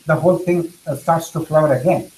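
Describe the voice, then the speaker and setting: A man's voice: on the high side, a little fast, a little mellow, rough recording. Earnest man lecturing, Tamil native speaker. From India. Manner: earnest, explanatory, repeats phrases for emphasis. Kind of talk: lecture answering questions.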